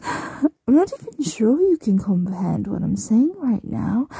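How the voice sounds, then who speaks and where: A woman playing a possessive, smugly menacing mistress in an ASMR roleplay, breathy and often whispering, with a teasing, condescending coo. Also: fairly high pitch, mellow, dry recording, exaggerated voice. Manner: teasing, condescending, drawn-out cooing.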